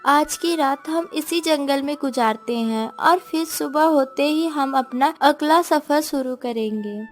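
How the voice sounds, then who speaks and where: A woman's voice: sits high, a little slow, soft background music. A woman voicing a kind, hopeful mother bird in a cartoon story, reassuring and earnest. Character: kind, hopeful mother sparrow, caring leader.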